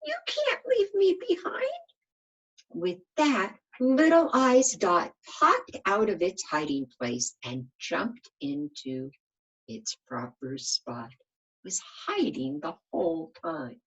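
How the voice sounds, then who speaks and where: A woman's voice: on the low side, very animated, slow, husky, highs cut off. A woman reading a children's storybook aloud like a kindergarten teacher, expressively and with questions for her listeners.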